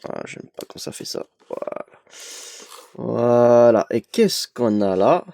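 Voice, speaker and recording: Young male vlogger, stuffy from a cold, unhurried and hesitant, dry close sound. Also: mid-range voice, lively intonation, gravelly, mellow, dry recording. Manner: hesitant, many "euh" fillers, chatty. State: has a cold. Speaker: YouTube collector opening an advent calendar. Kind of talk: casual unboxing vlog.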